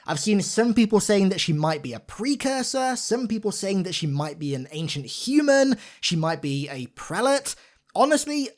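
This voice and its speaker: A young man giving an informal, enthusiastic video game breakdown with an emphatic, gushing manner. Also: sits high. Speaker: video game content creator.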